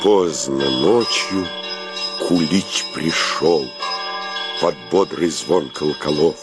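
An actor narrating a comic literary tale as a wryly solemn storyteller in a radio play. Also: male voice, very deep voice, slow pace, loud music behind the voice.

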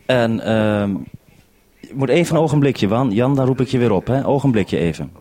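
A man's voice: mid-range voice, lively intonation, normal speed, darkish tone. A man with a Dutch accent from the Netherlands, casually coordinating a radio link as the programme maker. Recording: faint hum and background noise.

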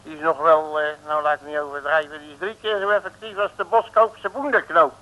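An actor playing a cocksure, bumbling detective in an old radio comedy, full of slangy bluster and hesitations. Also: male voice, on the high side, fairly even intonation, brisk, sharp tone, highs cut off. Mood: threatening. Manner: hesitant fillers, folksy comic threats. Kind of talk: 1950s-60s Dutch radio comedy acting. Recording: noisy old radio recording.

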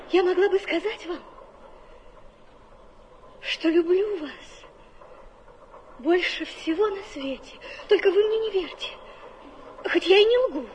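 A woman's voice: very high voice, slight background noise. An actress playing a coquettish, teasing woman of the stage with tenderness underneath, in a theatrical radio drama. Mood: coy, tender. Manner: playful, deliberate, expressive.

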